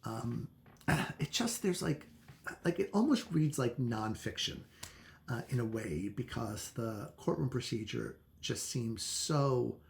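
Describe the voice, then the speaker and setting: This is a man with a noticeably creaky, gravelly voice at an ordinary middle pitch. He talks as a book reviewer would in a casual, unscripted chat. His delivery is halting and conversational, and he often backs up to reword himself. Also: lively intonation, slight background noise. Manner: halting, self-correcting, conversational.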